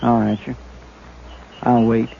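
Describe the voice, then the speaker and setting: A man's voice: mid-range voice, little pitch movement, takes it slowly, gravelly. A man playing a loyal, folksy deputy who speaks earnestly and deferentially in a 1950s radio drama. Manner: folksy, deferential, says sir often. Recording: dull old radio recording with hiss.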